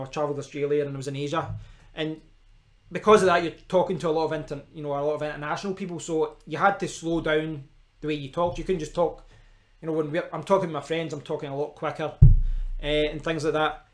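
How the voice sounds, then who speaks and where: A man's voice: a little high, little pitch movement, fast talker. A young man with a strong Glaswegian Scottish accent, rambling casually to viewers on a live stream from his kitchen, with frequent restarts and asides. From Scotland. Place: kitchen at home.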